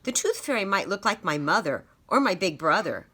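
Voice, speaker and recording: A wondering, excitable storybook schoolboy , read by a woman. He earnestly works things out in a bright voice with animated pitch movement. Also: fairly quick.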